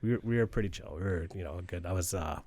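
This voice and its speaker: A man in his forties, a guest in a conversation, telling relaxed rambling stories and laughing often. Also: deep voice, quick pace. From United States.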